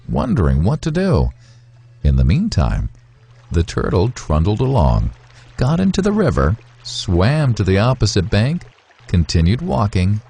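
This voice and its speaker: A man narrating a scripted moral fable in a measured, instructive way, with deliberate phrasing. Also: lowish voice, vocal fry, dark tone, slightly rough. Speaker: storyteller, narrator.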